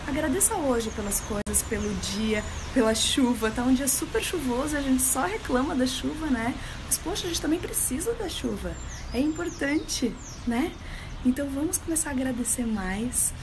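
A woman's voice: high-pitched, lively intonation, normal speed. A woman, giving an earnest motivational talk to followers on a phone camera. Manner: warm, earnest, questioning, gestures while talking. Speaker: healthy-living coach. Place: indoors, room with window. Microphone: phone held at arm's length. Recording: phone video with clear background noise.

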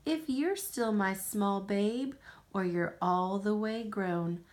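A woman with an American accent reading a picture book aloud to a young child, with unhurried, expressive phrasing. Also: mid-range voice.

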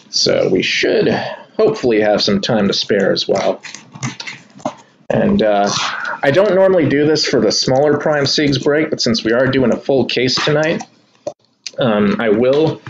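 A man's voice: medium pitch, measured pace, vocal fry. Man, casual card break host, chatty, dull webcam audio, faint hiss. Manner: relaxed, chatty, addresses viewers as y'all. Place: indoor room at a kitchen table.

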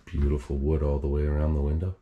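Guy wandering an empty old house talking to the camera, low easygoing voice. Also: fast talker, phone-quality sound, background noise. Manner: relaxed, admiring, thinking aloud. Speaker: urban explorer host. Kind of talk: casual walkthrough narration. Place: indoors in an empty abandoned house.

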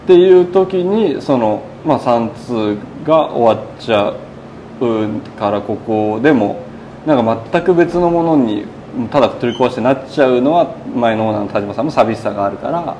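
A man's voice: medium pitch, lively intonation, normal speed, dark tone. Chatty man in his thirties, slightly noisy room. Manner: chatty, recounting in long run-on sentences with quoted speech. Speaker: burger shop owner being interviewed. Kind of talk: casual conversational interview. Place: indoors in a small burger shop. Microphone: lapel mic.

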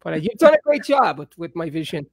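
Gravelly-voiced man hosting a live chat. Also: on the high side, very animated, no echo. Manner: laid-back, rambling, quick to laugh. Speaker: host. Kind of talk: casual live-stream chat. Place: home studio room. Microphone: studio mic on a stand.

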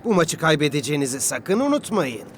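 An arrogant cartoon football rival, a little high in pitch. He is scornful and taunting, with swooping intonation. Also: very animated, fairly quick, a little hiss or hum. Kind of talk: animated series dub.

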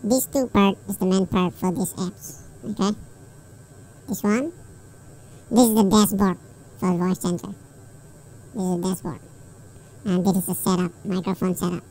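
Man through voice changer, small helium baby voice, pitched up, dull dark tone, tutorial explaining. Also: fairly even intonation, measured pace, pauses often, slight background noise. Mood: plain, explaining. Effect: pitch-shifting voice changer. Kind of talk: unscripted software tutorial.

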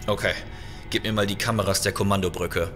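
An actor playing a calm, decisive starship captain in a sci-fi audio drama, commanding and matter-of-fact. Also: male voice, lowish voice, brisk, soft background music, close to an everyday voice. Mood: focused concern.

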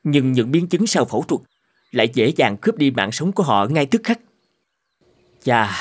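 A man voicing troubled, thoughtful doctors and professors in a cartoon drama, formal and measured. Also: medium pitch, slightly rough. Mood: earnest, concerned. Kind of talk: animated cartoon drama dubbing.

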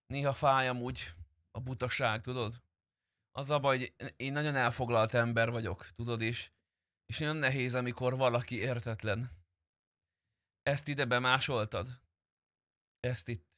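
A man's voice: mid-range voice, fairly even intonation, sharp tone, no echo, clean studio sound. A man chatting casually on a game stream, informal and teasing, with some swearing. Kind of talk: casual live-stream chat during gameplay.

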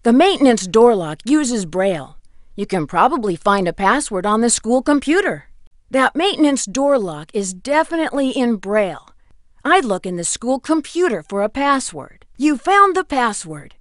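A woman's voice: a little high, big pitch swings, normal speed, dry recording, clean studio sound. A woman playing a helpful friend who offers hints in a scripted video game. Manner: helpful, suggesting. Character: helpful female friend giving puzzle hints.

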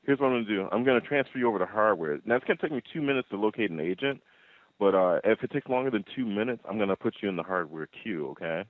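A man's voice: on the low side, animated, fast talker, dry recording. A male customer support agent speaking on a phone call in an apologetic, accommodating way. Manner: apologetic, accommodating, hesitant phrasing. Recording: muffled narrowband phone line.

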